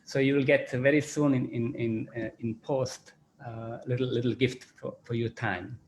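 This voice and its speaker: A man with a Croatian accent closes an online panel as chairman. He speaks at an ordinary pitch, halts often and repeats his words. A faint hiss runs under the call. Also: steady tone, darkish tone. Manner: halting, repeats words, many "you know" fillers. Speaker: business school chairman. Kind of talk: closing remarks at an online panel.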